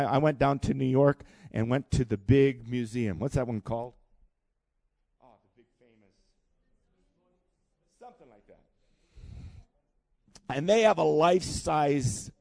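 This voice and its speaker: Gravelly, animated preacher man. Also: medium pitch, no room sound. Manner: animated preaching with call-and-response. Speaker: pastor. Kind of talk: sermon. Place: church hall.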